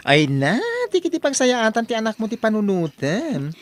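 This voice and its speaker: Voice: mid-range voice, big pitch swings, normal speed, natural voice. An actor playing an outspoken, sassy but kind-hearted friend in an Ilocano audio drama, exclaiming dramatically with an Ilocano accent. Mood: caring.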